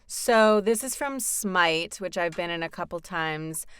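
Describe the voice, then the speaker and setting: A woman's voice: fairly low pitch, expressive, a little slow, a bit bright, clear, resonant tone. A woman voice actor, talking casually as an interview guest, chatty with frequent fillers.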